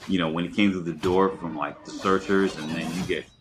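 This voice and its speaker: Man, very low voice, conversational, discursive, casual film talk, podcast co-host. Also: fairly even intonation, brisk, background noise.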